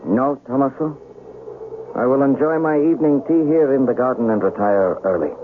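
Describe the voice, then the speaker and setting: A man's voice: medium pitch, normal speed, lightly acted. An actor playing a passionate, lovelorn young samurai nobleman in a 1970s radio drama, formal and emotive. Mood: subdued. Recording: phone-like noisy vintage radio.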